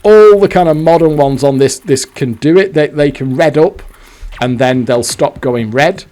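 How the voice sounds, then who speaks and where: A man's voice: fairly high pitch, moderate pace, a little mellow. A man giving casual, exasperated commentary while playing a fruit machine, swearing now and then. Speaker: slot machine video host.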